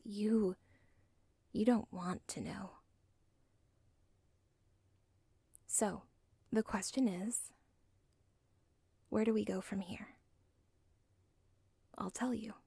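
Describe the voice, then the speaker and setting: A woman playing a villain's lovestruck, self-aware daughter in an ASMR roleplay, whispering softly with a halting, deliberate, intimate delivery. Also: mid-range voice, no room sound, exaggerated voice. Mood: conspiratorial, nervous. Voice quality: soft, breathy, whispery.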